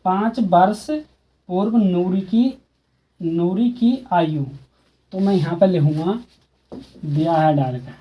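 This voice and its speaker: A man's voice: high-pitched, takes it slowly, pauses often, dark tone, rough recording. A man teaching a school maths exercise, speaking casually and repeating steps while asking students questions. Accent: Hindi. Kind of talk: explaining a textbook math exercise.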